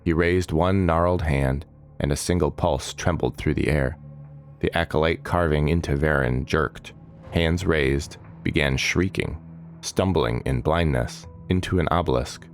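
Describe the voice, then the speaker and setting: A man narrating a scripted fantasy audiobook as storyteller, measured and dramatic in his delivery. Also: rumbling low, soft background music.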